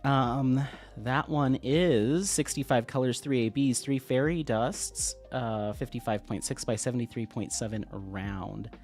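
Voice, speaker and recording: A man hosting a casual craft livestream, slightly sniffly, reading viewer comments aloud in a relaxed, friendly way at a desk microphone. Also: mid-range voice, unhurried, few pauses, faint music behind. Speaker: livestream host. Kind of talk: casual live-stream chat, reading comments. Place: home craft room, at a desk.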